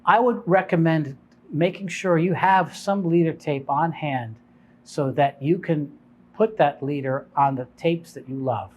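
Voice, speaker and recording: A man's voice: on the high side, measured pace, a little mellow. Man giving informal, explanatory product advice. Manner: conversational, unscripted, explanatory. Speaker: shop owner presenting product advice.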